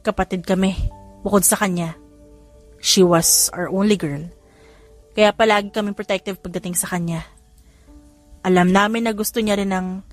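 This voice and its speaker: A woman narrator plays a protective grown man in an audiobook romance. The delivery is earnest and tender, a little lower than the narration, with faint music behind. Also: lightly acted. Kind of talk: audiobook character dialogue.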